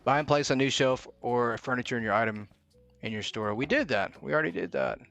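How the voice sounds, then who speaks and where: A man's voice: medium pitch, animated, fairly quick, creaky, a bit bright. A man with a middle-range voice streams a video game over background music. He talks through what he is doing as he goes, muttering to himself in live commentary. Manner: thinks aloud, mutters to himself.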